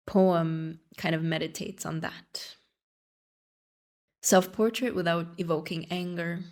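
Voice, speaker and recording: A young woman poet from Romania reads in English with a Romanian accent. She takes her time over each line, unhurried and deliberate, in a slightly low voice. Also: vocal fry. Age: young adult. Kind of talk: poetry reading.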